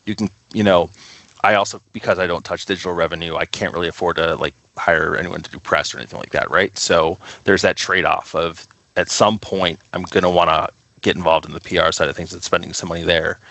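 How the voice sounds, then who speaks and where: A man talks casually as an interview guest. His voice is low and gravelly with fry, and the sound is close and dry. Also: steady tone, a little fast, pushed and bright, no room sound. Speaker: record label co-founder, interview guest.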